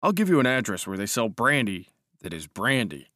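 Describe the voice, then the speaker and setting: A man's voice: very animated, brisk, bright, forceful tone, dry recording, studio quality. A man voicing a brash, jaunty young male cavalry officer who talks in a hearty, teasing way. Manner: hearty, teasing, raised pitch.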